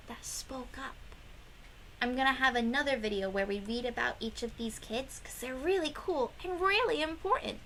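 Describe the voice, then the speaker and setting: A woman reading a picture book aloud to young children, with expressive emphasis and questions to her listeners. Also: high-pitched, noisy background. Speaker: children's read-aloud host.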